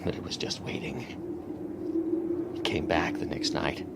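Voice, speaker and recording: An actor playing a haunted, obsessed man who fears the living wind, brooding and halting in a dramatic radio monologue, often dropping into whispers. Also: deep voice, animated, creaky, full character voice. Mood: bitter, fearful. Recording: very noisy radio drama recording.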